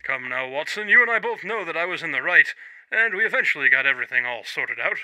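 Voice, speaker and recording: A man's voice: fairly high pitch, animated, fast talker, bright, forceful tone, dry recording, poor audio. A man playing a shrewd, self-assured detective in a radio drama, speaking formally with a wry, faintly condescending manner. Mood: brisk, assured. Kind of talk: scripted radio drama acting.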